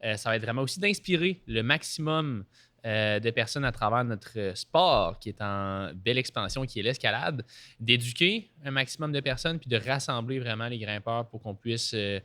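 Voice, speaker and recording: Filler-heavy Québécois podcast host. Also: male voice, medium pitch, expressive, normal speed, pushed and bright, dry recording. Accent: Québécois French. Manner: filler-heavy, restarts, frequent laughs. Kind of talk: casual podcast interview.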